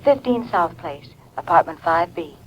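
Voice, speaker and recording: A woman's voice: fairly low pitch, animated, moderate pace, fairly bright tone. A woman playing a worried aunt in a 1950s radio drama, polite, urgent and imploring. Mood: anxious. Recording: slightly noisy vintage 1950s radio recording.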